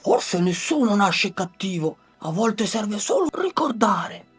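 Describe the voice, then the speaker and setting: A woman voicing a kind male cocker spaniel in a bedtime story, in a soft breathy voice. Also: mid-range voice, big pitch swings, slow, faint music behind, exaggerated voice. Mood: gentle. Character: gentle male cocker spaniel dog, loyal friend.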